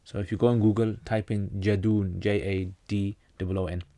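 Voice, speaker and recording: A man's voice: fairly low pitch, level tone, normal speed, warm tone, dry recording. A man from North Pakistan, a native Pashto speaker, hosting a casual livestream Q&A. He reads out viewer questions and answers them plainly, often restarting his sentences.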